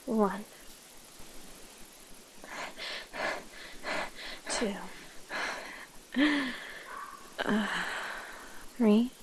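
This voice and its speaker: A woman voicing a predatory, teasing vampire woman in an ASMR roleplay, breathy and often whispering, with drawn-out, menacing delivery. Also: medium pitch, steady tone, darkish tone, a little hiss or hum, poor audio.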